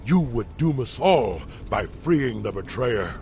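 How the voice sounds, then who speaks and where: A man's voice: medium pitch, very animated, slow pace, warm tone, a little hiss or hum. An actor playing a grim male elf warden who guards a prison, speaking in a grave, warning way.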